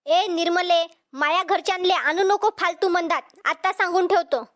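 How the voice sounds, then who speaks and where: A woman voicing a pushy, combative cartoon village woman with a Vidarbha Marathi accent, defiant and insulting in a pitched-up voice. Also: moderate pace, fairly bright tone. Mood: warning. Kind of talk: cartoon comedy dialogue.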